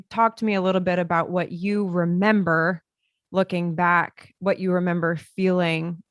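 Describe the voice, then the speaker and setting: A woman hosting an interview with a lowish voice and a dry, close sound. She asks questions warmly and affirms her guest often. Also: dry recording. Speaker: interview host. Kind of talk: conversational interview.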